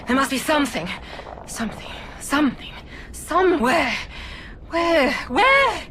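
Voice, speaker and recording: A woman's voice: high voice, very animated, slow, sharp tone, slight background noise, full character voice. A woman playing a haughty, theatrical Sith scientist villain in a video game, with grandiose, disdainful diction. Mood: frantic, exasperated. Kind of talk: video game cutscene acting.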